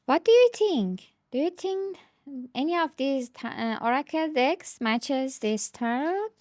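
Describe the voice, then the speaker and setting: Slow-talking woman with a higher voice. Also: animated, a little mellow, no room sound, studio quality. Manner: hesitant, thinking aloud. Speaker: tarot deck reviewer. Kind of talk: casual unscripted show-and-tell.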